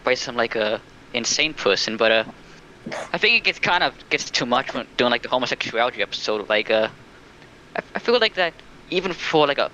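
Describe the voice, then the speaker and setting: A man's voice: medium pitch, animated, fairly quick, pushed and bright. A man giving his opinions hesitantly in a casual livestream discussion, leaning heavily on filler words. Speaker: stream participant. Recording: dull lo-fi mic, faint noise.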